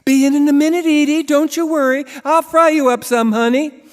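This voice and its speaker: A man's voice: very high-pitched, steady tone, fairly quick, voice pushed up. A man voicing a sweet young woman reassuring an old lady, read live in a reverberant hall. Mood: cooing.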